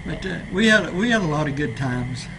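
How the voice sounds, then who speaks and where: A man's voice: a little high, lively intonation, fairly quick, creaky. Easygoing East Tennessee man remembering. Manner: easygoing, chuckling. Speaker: storyteller. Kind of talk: casual reminiscing. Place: small library office room. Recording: noisy room recording.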